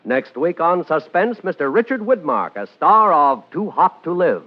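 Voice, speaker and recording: A muffled vintage broadcast with faint music features a male announcer with a high, widely swooping voice. He delivers a theatrical, suspenseful fifties radio announcement. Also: very animated, normal speed. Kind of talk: dramatic 1950s radio announcing.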